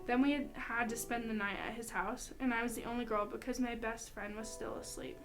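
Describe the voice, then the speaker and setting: A young woman reads a first-person account aloud. Her voice sits on the high side, and soft music plays behind her. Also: fairly even intonation, brisk, poor audio. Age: young adult. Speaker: student reader.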